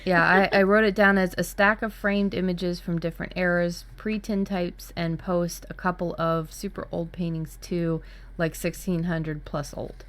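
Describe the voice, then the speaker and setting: Low-pitched woman co-hosting, casual and blunt. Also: little pitch movement, normal speed. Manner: blunt, informal. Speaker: workshop co-host. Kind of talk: casual chat.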